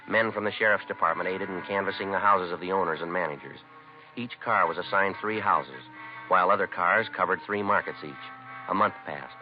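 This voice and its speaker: Male, American, to play a stoic radio-drama cop. Low, rapid, flat and clipped, on dull vintage broadcast sound. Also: natural voice. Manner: deadpan, clipped, matter-of-fact. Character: stoic, businesslike male police sergeant. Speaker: lead actor and narrator of a police radio series. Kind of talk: 1950s radio acting, terse scripted narration. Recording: muffled old radio broadcast recording.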